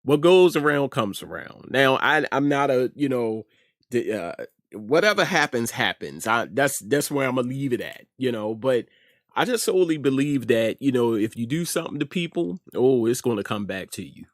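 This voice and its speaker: A man's voice: medium pitch, expressive, moderate pace, no echo, clean studio sound. A middle-aged American man hosting an informal opinion commentary, speaking emphatically and repeating phrases for effect. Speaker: host of an online news commentary show.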